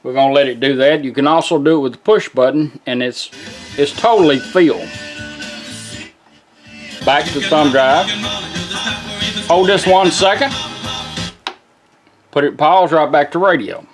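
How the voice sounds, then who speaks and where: A man's voice: on the high side, measured pace, long pauses, music in the background. A man casually walks through a hands-on product demonstration in folksy, step-by-step fashion. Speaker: presenter demonstrating a car radio.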